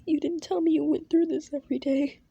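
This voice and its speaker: A frightened young woman on her first day who pleads shakily, played by the narrator. Her voice sits high with a husky edge in this fan audio story. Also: steady tone, normal speed, dark tone, lo-fi sound, exaggerated voice. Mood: upset. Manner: shaky, pleading.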